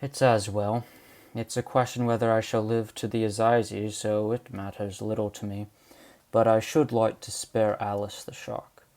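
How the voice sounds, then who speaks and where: A man's voice: on the low side, little pitch movement, measured pace, a little mellow, natural voice. A man playing a weary, dying old man in an amateur audio drama, grave and remorseful as if confessing. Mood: anguished.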